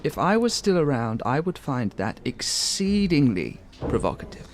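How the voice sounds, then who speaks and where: An actor playing a pompous, arch and courteous theatre critic who also narrates a radio play to the listener. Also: male voice, mid-range voice, big pitch swings, moderate pace, a little hiss or hum.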